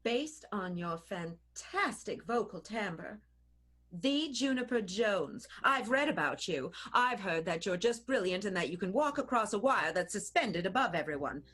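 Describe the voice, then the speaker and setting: A woman playing a sly, affected, evil theater owner in an improvised radio play, coy and husky. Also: medium pitch, big pitch swings, unhurried, a bit bright, heavily acted. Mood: sly, flattering.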